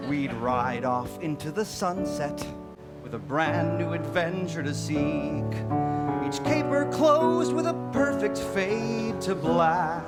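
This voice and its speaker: A man's voice: fairly high pitch, very animated, slow, loud music behind the voice. A man playing an awkward, regretful middle-aged starship captain in a melodramatic parody stage musical. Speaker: musical theatre performer in a staged reading.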